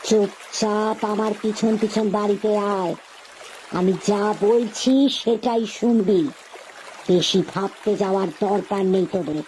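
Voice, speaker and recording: A stern mother hen, acted out for a cartoon, curt and commanding as she scolds. She speaks slowly and deliberately, with faint watery noise behind. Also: medium pitch, steady tone, a little mellow, natural voice, rough recording. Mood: stern, scolding. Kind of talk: cartoon story dialogue.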